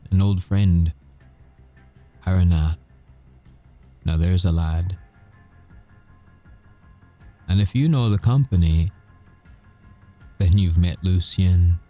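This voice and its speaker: Casting: male actor for cocky, haunted werewolf soldier in audio roleplay. Deep, very soft-toned voice, wry measured storytelling. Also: soft background music. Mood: grave, measured. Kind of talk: audio roleplay monologue, ASMR.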